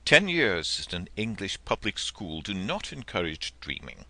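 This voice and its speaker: A man narrating a literary story as an audiobook, with an expressive, dramatic storytelling manner. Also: low-pitched, a little slow, no echo.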